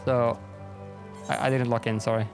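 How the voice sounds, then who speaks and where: Give me a young Belgian man with a thick Flemish accent, rambling casually on a livestream, mid-pitched, with soft game music behind. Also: flat delivery, normal speed, vocal fry. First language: Dutch. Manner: rambling, swears a lot, self-deprecating, frequent restarts. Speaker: streamer. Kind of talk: casual gaming livestream chat.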